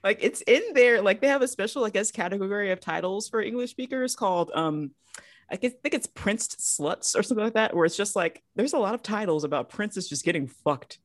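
A woman's voice: mid-range voice, animated, brisk, dry recording. A woman co-hosting a podcast in casual, joking conversation. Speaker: podcast co-host.

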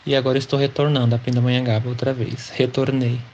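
A man's voice: medium pitch, little pitch movement, fast talker, darkish tone. A man in his forties from Brazil, telling his life story in an interview, chatty, often ending sentences with a questioning tag. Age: 40s. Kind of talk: slow personal storytelling. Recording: slightly dull recording with faint background noise.

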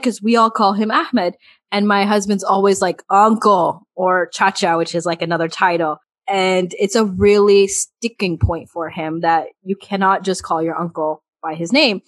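A woman's voice: medium pitch, dry recording. Chatty woman podcast co-host, dry sound. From United States. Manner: animated, chatty, laughs often. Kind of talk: casual chat.

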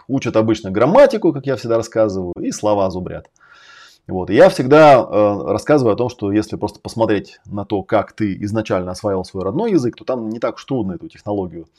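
Fast-talking man with a low, mellow voice. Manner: emphatic, categorical lecturing with frequent swearing. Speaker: host and language-learning coach. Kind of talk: casual live-stream monologue.

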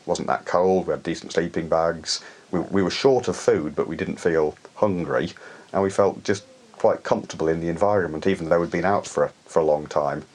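A man's voice: low-pitched, a little fast. A middle-aged man from the UK, an alpinist, telling climbing stories in a hesitant, reflective way with frequent restarts. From United Kingdom. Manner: hesitant, many restarts and soft laughs. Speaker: alpinist guest. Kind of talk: reflective conversational storytelling.

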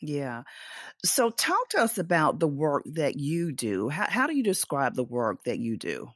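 Low-voiced, lively woman interview host. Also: a little slow, dry recording, clean studio sound. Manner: asks open questions, brief affirmations. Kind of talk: conversational interview.

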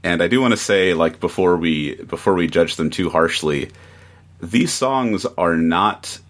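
A man giving a measured, analytical take as a guest in a casual podcast discussion. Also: low-pitched, lively intonation. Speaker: guest reviewer.

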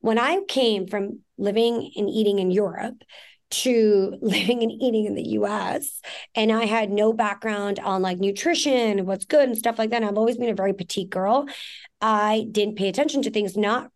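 Woman in her 40s from pakistan, podcast guest, talks frank and long, lots of likes and ums, mid pitch. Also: moderate pace. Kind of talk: candid conversational interview.

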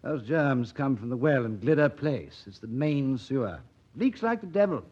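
An actor playing a cynical, hard-drinking, witty doctor in a vintage radio play, with a breezy, ironic, theatrical delivery. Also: male voice, medium pitch, sing-song, normal speed, a little mellow, breathy edge. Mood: caustic, blunt. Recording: dull old radio broadcast recording.

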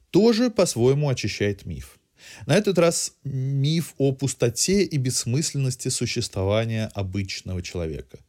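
A man presents a cultural review as a podcast host. His voice sits at a middling pitch and moves in very animated, varied intonation. The phrasing is erudite and literary, following a loosely scripted narration.

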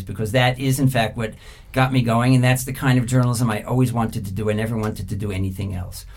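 A middle-aged man answering interview questions thoughtfully, pausing often with fillers. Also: on the low side, a little mellow. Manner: thoughtful, halting, many filler pauses. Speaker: interview guest, former journalist.

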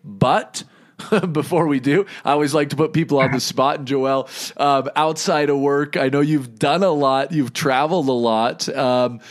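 Rambling male podcast host. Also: medium pitch. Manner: rambling, filler-heavy questions. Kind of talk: casual interview chat.

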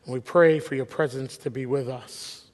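A man preaching a sermon as pastor, halting and reflective with many restarts, his voice a little worn. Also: medium pitch, steady tone, a little mellow. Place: church sanctuary. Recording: long hall reverb.

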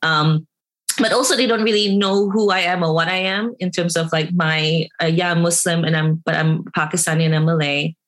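A woman, a guest on a podcast, speaking reflectively and candidly in a measured way. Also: fairly low pitch, steady tone, a bit bright. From Singapore. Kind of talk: casual conversational interview.

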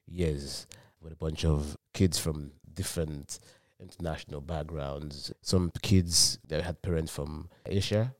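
A young man from Nigeria, a student guest on a podcast, answering questions in a halting way and often repeating his words. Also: a bass voice, no echo, clean studio sound. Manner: halting, repeats words while searching for them. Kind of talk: casual interview answers.

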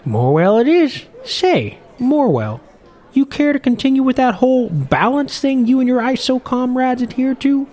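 A man's voice: very high-pitched, big pitch swings, moderate pace, darkish tone, some chatter behind, voice pushed up, exaggerated voice. A man voicing a short, jolly old fishing boat captain who talks in a chatty, boisterous, lilting way.

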